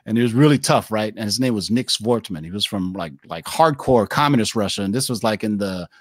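40s guy hosting a chill podcast chat, rambly and animated, says man and dude a lot, dry close sound. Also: fairly low pitch, fairly quick, no echo. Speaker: podcast host. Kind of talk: casual chat.

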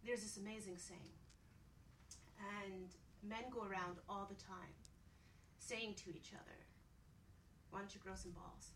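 A woman's voice: medium pitch, steady tone, a little slow, long pauses, fairly bright tone, far from the mic, slight background noise, poor audio. A woman on a radio talk panel, speaking candidly and emphatically, often posing rhetorical questions. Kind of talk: candid conversational discussion.

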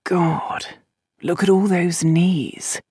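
A woman voicing a dry, teasing, idle aristocratic man in a storybook, unhurried and faintly amused. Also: on the low side, steady tone, warm tone, breathy edge, no echo, full character voice. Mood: wry.

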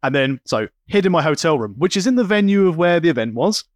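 A man's voice: a little high, fast talker, no room sound, clean studio sound. A man co-hosting a podcast, chatting casually and acting out stories with quoted voices. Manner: animated storyteller, acts out quoted voices. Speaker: podcast co-host. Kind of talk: casual chat.

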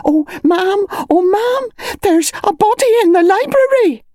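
A young housemaid in a mystery audiobook, pitched extremely high and breathless. She is frantic with shock and hysterical, exclaiming in panic. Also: expressive, measured pace, warm tone, no echo, clean studio sound.

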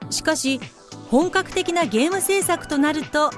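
A woman's voice: high-pitched, lively intonation, measured pace, faint music behind. A woman playing the composed narrator of a comedy radio drama, delivering scripted narration. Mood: explanatory.

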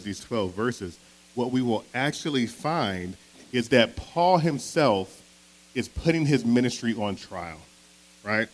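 Earnest pastor preaching. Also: male voice, mid-range voice, poor audio. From Philadelphia. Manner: earnest, conversational, with frequent tag questions. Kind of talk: sermon with opening prayer.

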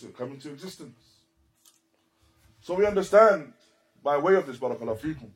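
A man giving a religious lecture as a teacher, speaking deliberately and emphatically in short, repeated phrases and switching into Arabic quotations. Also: mid-range voice, poor audio. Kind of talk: religious lecture explaining a text.

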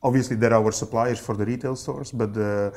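Mellow Belgian man, halting delivery. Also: lowish voice, fairly even intonation. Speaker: interview guest, company general manager. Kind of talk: conversational podcast interview.

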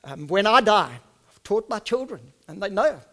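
Afrikaans-accented man, middle-aged pastor, high tenor, earnest sermon, drops soft then rises loud. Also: big pitch swings, moderate pace. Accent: South African Afrikaans. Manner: earnest, swings from soft and hushed to loud and emphatic. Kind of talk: sermon with personal storytelling.

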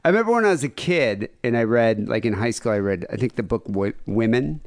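Lively, joking male podcast host. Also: mid-range voice, brisk. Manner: crude, joking. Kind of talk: casual chat.

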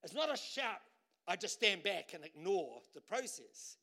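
A male preacher with a high, bright, ringing voice delivers an animated sermon. He swings from loud, shouted bursts to quiet, low asides, often repeating words as he drives home a point. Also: measured pace. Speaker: pastor.

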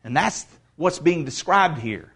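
Man, pastor, fairly high-pitched, casual sermon delivery with restarts, swells to loud proclamation. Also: lively intonation, breathy edge. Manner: conversational preaching with asides and restarts, rising to loud proclamation; reads scripture aloud slowly. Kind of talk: expository sermon.

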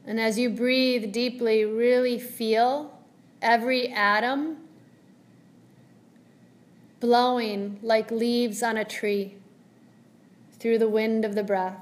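A woman leading a guided meditation as a yoga teacher, speaking gently and soothingly in measured phrases with long pauses. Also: fairly high pitch, steady tone.

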